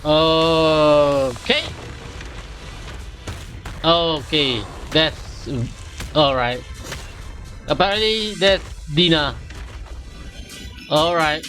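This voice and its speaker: A man's voice: fairly high pitch, extremely slow, pauses often, pushed and bright. A man streaming a game, giving casual live commentary in short muttered reactions. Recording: game music behind the voice.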